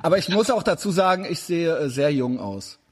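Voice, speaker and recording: Husky chatty man in his forties. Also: fairly high pitch, expressive, brisk. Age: 40s. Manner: chatty, rambling with many fillers. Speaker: interviewer. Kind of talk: casual interview over video call.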